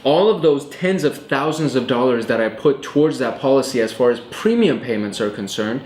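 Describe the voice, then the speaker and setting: A man's voice: mid-range voice, animated, moderate pace, a little mellow. A man in his late twenties hosting a show, explaining money topics in a casual, chatty way straight to camera, with lots of fillers. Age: 20s. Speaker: host. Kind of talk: casual educational vlog talk. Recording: roomy echo with faint ambience.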